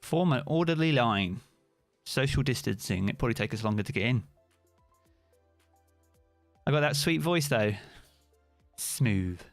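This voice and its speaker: A British man chats loosely with his viewers during a live stream, answering their questions by name. His voice sits at an ordinary middle pitch and is recorded dry and close. Soft music plays faintly underneath. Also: unhurried, pauses often, no echo. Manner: chatty, relaxed, answers chat by name. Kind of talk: casual live-stream chat reading viewer comments.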